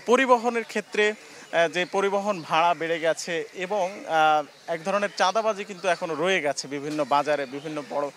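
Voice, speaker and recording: A man's voice: high-pitched, a bit bright, slight background noise. A young man reporting live from an outdoor market into a handheld mic, explaining with frequent hesitations. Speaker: field reporter. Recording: faint outdoor market ambience.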